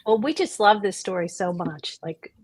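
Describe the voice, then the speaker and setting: A woman's voice: medium pitch, expressive, normal speed, husky, dry recording. Casual woman host with a dry sound. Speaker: author and book club host. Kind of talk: casual livestream chat.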